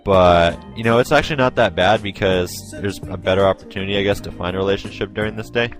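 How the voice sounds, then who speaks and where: A man's voice: low-pitched, fast, soft background music. A man in his twenties from Oregon, hosting a casual group podcast, joking and reading listener posts aloud. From Oregon, USA. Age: 20s. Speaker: podcast host.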